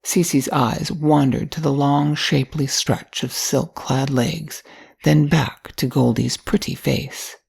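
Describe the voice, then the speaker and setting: An older woman with a husky, gravelly voice reading a scripted story in a measured storytelling manner. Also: extremely low pitch, fairly even intonation, darkish tone. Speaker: narrator.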